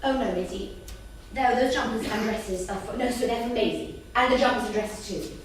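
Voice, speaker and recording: A woman's voice: a little high, moderate pace, close to an everyday voice. A woman playing a breezy, frank and well-off mother in a staged script reading. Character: cool, breezy, generous well-off Dublin mother. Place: theatre stage. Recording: distant, very echoey hall, faint noise.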